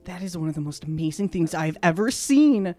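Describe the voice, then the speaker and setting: Woman playing tabletop game, tentative halting improv, lively pitch, faint music. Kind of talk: casual in-character improv. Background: background music.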